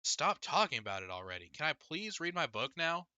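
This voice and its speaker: Sullen young schoolboy, played by a male narrator: defiant, curt, dismissive, sharp bright tone, dry recording. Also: fairly high pitch, sing-song, fairly quick, clear, resonant tone, no echo, voice pushed up, full character voice. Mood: irritated. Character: stubborn, sullen young schoolboy, a little brother. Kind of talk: audiobook character dialogue.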